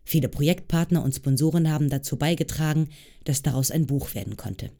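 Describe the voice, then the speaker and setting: A podcast host reading a scripted introduction in a measured, even way. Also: mid-range voice, gravelly. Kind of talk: scripted narration.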